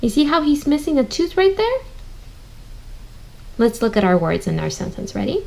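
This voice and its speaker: A woman's voice: sits high, very animated, normal speed, gravelly, a little mellow. A woman teaching a children's read-aloud reading lesson, addressing her listeners directly and thinking aloud.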